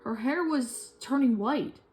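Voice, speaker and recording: A woman's voice: high voice, takes it slowly, soft background music. A woman reading a children's story aloud expressively, acting out the characters' dialogue as she goes. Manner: animated, acts out dialogue. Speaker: storybook reader.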